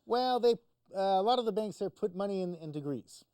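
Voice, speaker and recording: Mellow, higher-pitched male commentator, dry sound. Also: expressive, fairly quick, clear, resonant tone, no room sound. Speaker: news commentator on a talk show. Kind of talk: conversational explanation of news.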